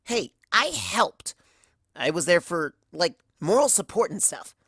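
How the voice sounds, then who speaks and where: A cocky young tomboy cartoon mare, acted by a woman narrator. She is pitched up from a low natural voice, with lively swings, and talks in blunt, boastful slang. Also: measured pace, gravelly, breathy edge, dry recording, studio quality, heavily acted.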